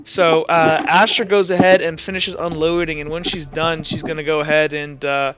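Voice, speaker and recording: A man working as game master, improvising tabletop narration in an informal, halting way. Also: fairly high pitch, little pitch movement, rough recording. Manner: informal, halting, filler-heavy.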